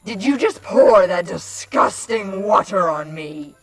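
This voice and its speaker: A woman's voice: medium pitch, animated, slow pace, soft background music. A woman voicing a haughty, venomous monster queen in an indignant, imperious fan fiction reading. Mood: outraged.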